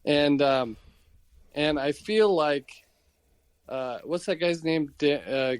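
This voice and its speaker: A man co-hosting a podcast, chatting casually and often pausing to search for his words. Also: fairly high pitch, clean tone, no room sound. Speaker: podcast co-host. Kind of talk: casual chat.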